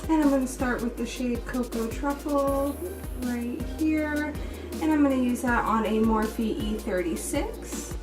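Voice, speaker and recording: A woman with a slightly raised pitch and a little hoarseness talks casually through a makeup look, step by step and full of fillers. She sits back from the microphone, and clear music plays behind her. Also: measured pace. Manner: relaxed, step-by-step, with many fillers. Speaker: beauty vlogger. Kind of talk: casual makeup tutorial and review. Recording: distant mic with music playing behind.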